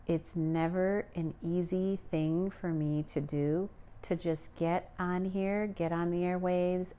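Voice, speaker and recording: A woman's voice: on the low side, darkish tone, smooth. A woman hosting a solo podcast, talking earnestly and pleading with her listeners to be kind to each other. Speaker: podcast host.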